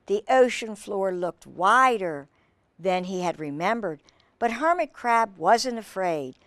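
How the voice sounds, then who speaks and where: Expressive, unhurried woman storyteller reading to children. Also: mid-range voice, no room sound. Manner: asks listeners questions, exclaims over pictures. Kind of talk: expressive read-aloud with asides to young listeners.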